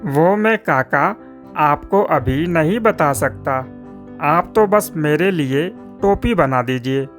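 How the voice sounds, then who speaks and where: A poor, crafty barber in a cartoon tale. He talks slowly and politely in an earnest manner, over light plucked-string music. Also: a little high, expressive, a bit bright. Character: wily, polite poor village barber man. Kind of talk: cartoon story dialogue.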